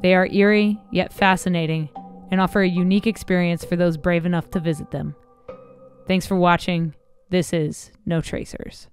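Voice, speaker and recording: A woman with a somewhat low voice reads a scripted documentary countdown. Her delivery stays even and measured from item to item. Clear music plays underneath her the whole time. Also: dry recording. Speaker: channel host. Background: music bed.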